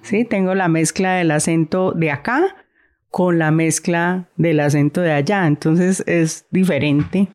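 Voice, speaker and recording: Low-voiced Colombian woman, Santander accent. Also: a little slow, darkish tone. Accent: Santander Colombian Spanish, softened. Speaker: guest. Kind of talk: casual conversation.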